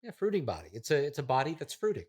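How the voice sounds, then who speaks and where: A man playing an affluent, self-important app developer as a deadpan guest on a comedy interview podcast. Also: mid-range voice, slightly rough. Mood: stubborn, condescending. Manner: pompous, deadpan, condescending, deliberate.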